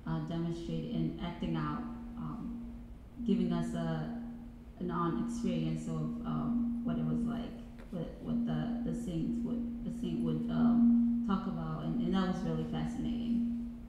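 A woman's voice: sits low, flat delivery, unhurried. Young woman, Swahili native, echoey hall. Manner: hesitant, many fillers. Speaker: student panelist. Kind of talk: panel presentation. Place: large reverberant college hall. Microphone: handheld mic. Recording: echoey hall, music behind.

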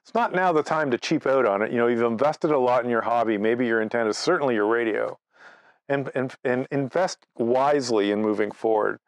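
A man's voice: medium pitch, fairly quick, no room sound. A man, giving an informal, conversational instructional talk with step-by-step examples. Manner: conversational, explains through step-by-step examples and anecdotes. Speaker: presenter, amateur radio operator. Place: outdoors in front of leafy trees.

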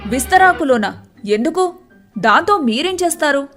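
A woman's voice: high-pitched, expressive, moderate pace, fairly bright tone, soft background music. A woman voicing a timid, put-upon wife in a story, with a wary, complaining manner. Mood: hesitant, puzzled.

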